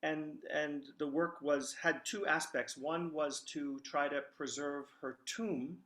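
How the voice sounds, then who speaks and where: A man's voice: a little high, steady tone, slow pace, a bit bright. An American man, a guest professor, answering a question thoughtfully with hesitant starts.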